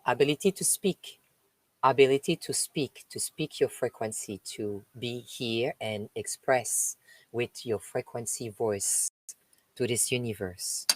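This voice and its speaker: A woman from France with a French accent, a spiritual host reading a text aloud and stopping for chatty asides, with slow, emphatic delivery. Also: a bass voice, dry recording, rough recording. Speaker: spiritual live-stream host. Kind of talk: reading aloud with spoken commentary.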